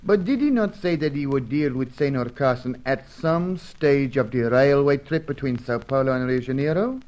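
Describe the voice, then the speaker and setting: An actor playing a devoted, anxious manservant, formal and brooding, in a radio serial. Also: male voice, mid-range voice, normal speed, a little mellow, lightly acted, rough recording. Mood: worried. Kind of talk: old-time radio serial acting.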